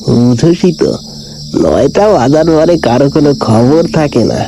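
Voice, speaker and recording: A man's voice: medium pitch, big pitch swings, normal speed. A man voicing a weary, wary village grandfather of about seventy in a cartoon ghost story, talking in a folksy, self-muttering way. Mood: storytelling. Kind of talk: animated ghost story dialogue.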